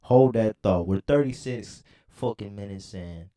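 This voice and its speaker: A man's voice: on the low side, unhurried, dark tone. A man hosting a casual podcast, talking in slangy, profane language and repeating phrases for emphasis. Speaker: podcast host.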